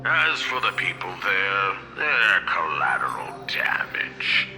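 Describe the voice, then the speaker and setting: A cold, dismissive corporate executive. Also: male voice, low-pitched, very animated, takes it slowly, vocal fry, bright, forceful tone, soft background music, exaggerated voice. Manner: condescending, brusque. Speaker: game character. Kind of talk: video game voice acting.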